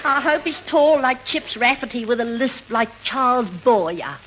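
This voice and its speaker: An actress playing a silly, romantic young Australian spinster in an old-time radio comedy, gushing, naive and fluttery. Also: female voice, a little high, a little slow, fairly bright tone. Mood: excited, romantic. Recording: noisy old radio recording.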